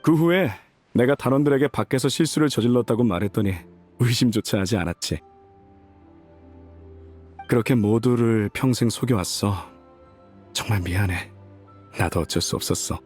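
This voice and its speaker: A man's voice: lowish voice, a little mellow, natural voice. A man voicing an ailing old mercenary leader, a boastful but fond father, in a wistful, wry, folksy game performance. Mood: apologetic, tender. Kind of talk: dramatic game voice acting, letter read aloud.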